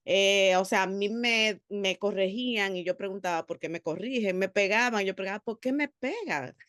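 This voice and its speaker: A woman's voice: medium pitch, normal speed, dry recording, studio quality. Earnest woman giving testimony. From Dominican Republic. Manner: earnest and animated, with frequent hesitations. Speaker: panelist, theologian and psychologist. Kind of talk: personal testimony at a panel.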